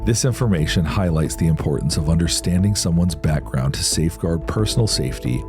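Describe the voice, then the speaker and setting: Male true-crime narrator, extremely low voice, scripted delivery over a music bed. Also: lively intonation, a little fast.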